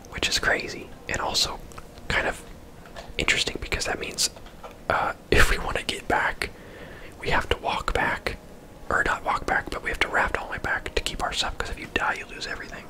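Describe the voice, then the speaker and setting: An ASMR video host whispering casual, rambling gameplay commentary in a breathy, unvoiced voice. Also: normal speed, low-quality recording. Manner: soft-spoken, rambling, casual.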